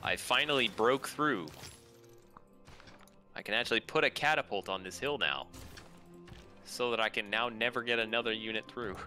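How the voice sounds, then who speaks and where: A man's voice: mid-range voice, normal speed, long pauses, bright, forceful tone, faint music behind. A man playing a multiplayer game and chatting casually with the others. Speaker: multiplayer gamer. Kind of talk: casual chat while gaming.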